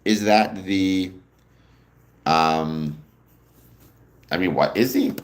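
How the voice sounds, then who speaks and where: A young man hosting a casual livestream, answering viewer comments in a relaxed, rambling way with a deep voice. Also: extremely slow. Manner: relaxed, rambling, many ums, answers chat questions. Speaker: livestream host.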